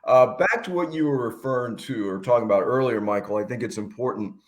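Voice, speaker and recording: A man's voice: medium pitch, fast. A man hosting an interview, asking questions conversationally and quoting sayings. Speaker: host. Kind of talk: casual interview.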